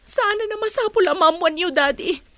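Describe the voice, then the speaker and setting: A woman's voice: extremely high pitch, moderate pace, a bit bright, no echo. A woman acting a hurt, betrayed wife in a radio drama, plaintive and melodramatic. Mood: hurt, resolute. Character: hurt, betrayed wife leaving her in-laws' home.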